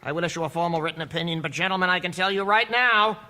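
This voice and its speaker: A man's voice: high voice, pushed and bright. A man playing a dry, amused judge. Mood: firm. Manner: measured, dryly amused courtroom authority. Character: male judge, dry and authoritative. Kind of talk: audio drama acting.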